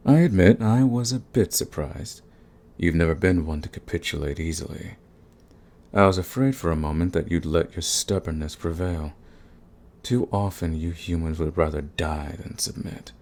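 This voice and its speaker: A man playing a suave, sadistic vampire lord in an ASMR roleplay, courtly and menacing, with a gravelly, husky voice. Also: low-pitched, darkish tone. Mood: smug, courtly. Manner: courtly, purring, slow and menacing. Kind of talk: ASMR roleplay, scripted monologue to the listener.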